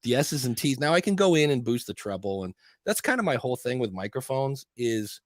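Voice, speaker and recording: A man's voice: mid-range voice, very animated, fairly quick, dry recording, studio quality. A man hosting a podcast, chatting casually about audio gear and often restarting sentences or quoting himself. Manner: rambling, restarts and self-quotes. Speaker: podcast host. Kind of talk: casual tech chat. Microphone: studio dynamic mic.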